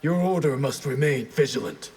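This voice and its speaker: Game villainous assassin male actor: haughty, formal, creaky voice at medium pitch, clearly noisy track. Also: lively intonation, moderate pace, a little mellow, close to an everyday voice. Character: arrogant, disdainful male ninja assassin, cold and ambitious. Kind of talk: video game character acting. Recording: clear noise behind.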